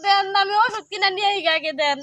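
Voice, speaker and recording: A woman's voice: extremely high pitch, fast, a bit bright, dry recording, highs cut off. A woman acting an exasperated village woman in a comedy sketch, indignant and complaining, with a rural Bangladeshi Bengali accent, on a noisy recording. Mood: indignant, suspicious. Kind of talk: improvised comic dialogue.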